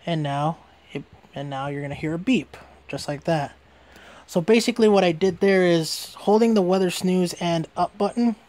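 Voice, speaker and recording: A man with a fairly high voice presents a hands-on gadget review. He talks informally, like an everyday hobbyist video, and tends to restate his points as he goes. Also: moderate pace, darkish tone, a little hiss or hum. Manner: informal, repeats and rephrases himself. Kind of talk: casual hands-on product review.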